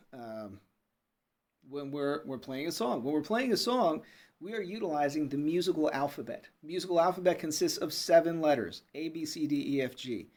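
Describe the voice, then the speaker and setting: Man explaining music with casual pauses. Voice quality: fairly low voice. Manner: relaxed and explanatory, with frequent "uh" and "okay?" check-ins. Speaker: music teacher. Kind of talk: unscripted video lesson.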